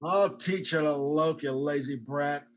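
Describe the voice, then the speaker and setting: Radio play: man as drunken, grieving druggist, slow and menacing, a bully. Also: a little high, lightly acted. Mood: angry. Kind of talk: old-time radio play acting.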